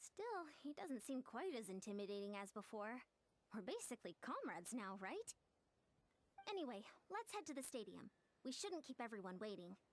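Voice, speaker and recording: A lively, higher-voiced young comrade. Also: female voice, moderate pace, a little hiss or hum. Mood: relieved, upbeat. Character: friendly, upbeat young female warrior comrade. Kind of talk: scripted video game dialogue.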